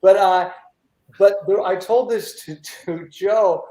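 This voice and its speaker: A man from the United States hosting a casual interview, telling stories in an animated, rambling way. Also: high-pitched, slow pace. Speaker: talk show host. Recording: roomy echo.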